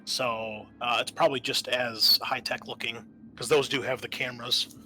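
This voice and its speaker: A man narrating as game master in a casual, halting way, thinking aloud as he goes. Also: mid-range voice, steady tone, sharp tone, soft background music, poor audio. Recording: faint background noise.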